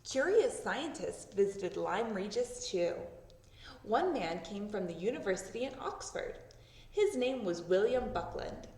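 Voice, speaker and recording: A woman reading a children's story aloud, with expressive narration that acts out the words. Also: mid-range voice, a little slow. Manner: expressive, acting out sound words. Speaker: storybook reader. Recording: roomy echo, distant microphone.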